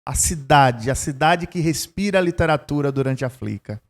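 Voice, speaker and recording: A man's voice: fairly high pitch, expressive, moderate pace. Man hosting a friendly interview. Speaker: interview host.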